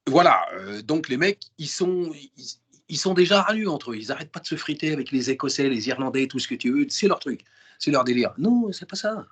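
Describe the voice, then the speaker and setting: Husky-voiced man talking animatedly. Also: on the high side, brisk. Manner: emphatic and animated, full of restarts and repetition. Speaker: interview guest. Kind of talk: casual interview chat in slangy French.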